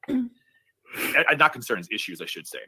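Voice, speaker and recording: A man's voice: medium pitch, huge pitch swings, measured pace, bright, forceful tone, poor audio. A man giving sarcastic live-stream commentary on online drama.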